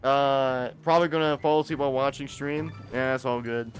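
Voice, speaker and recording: A young man talks loosely while playing a game on a live stream, tripping over his first words and circling back to finish his thoughts. His voice sits at an ordinary middle pitch. Faint game music plays underneath. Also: steady tone, brisk. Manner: rambling, repeats words when starting sentences. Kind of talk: casual live-stream chat.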